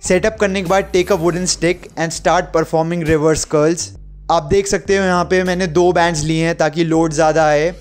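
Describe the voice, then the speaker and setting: A young man explains exercises as a home workout instructor. His voice sits a little high for a man, and he slips English gym terms into his narration. Soft music plays behind him. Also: steady tone, measured pace. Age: young adult.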